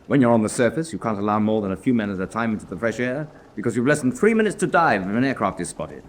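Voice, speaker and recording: An actor playing a proud, hard-bitten German U-boat officer in a radio drama, with clipped, emphatic delivery. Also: male voice, lowish voice, sing-song, fast. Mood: bitter, impassioned. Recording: clearly noisy background ambience.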